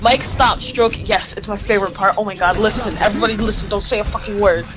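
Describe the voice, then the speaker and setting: A woman's voice: medium pitch, fairly even intonation, fast talker. A woman reading a story aloud in an amused, theatrical way and chatting casually on a voice call. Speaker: friend reading fan fiction aloud in a game call. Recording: voice call with game music behind. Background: video game music.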